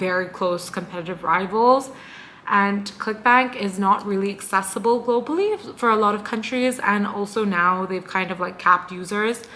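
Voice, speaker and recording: A woman's voice: mid-range voice, bright, forceful tone. A woman in her twenties from Hong Kong, talking to camera in a chatty, bubbly way as she gives tips. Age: 20s. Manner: bubbly, chatty, lots of "like" and "guys". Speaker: vlogger and entrepreneur. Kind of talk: casual talk to camera.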